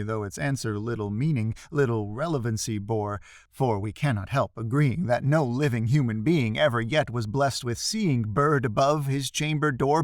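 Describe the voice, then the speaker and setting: A man giving a dramatic, expressive recitation of a classic poem, drawing out emphatic phrases for effect. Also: mid-range voice, no room sound, studio quality. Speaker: poetry reader.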